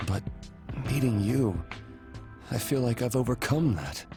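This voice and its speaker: A man's voice: mid-range voice, lively intonation, measured pace, over music. An actor voicing a hesitant, stammering young man who turns earnest, in a game cutscene. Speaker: game character.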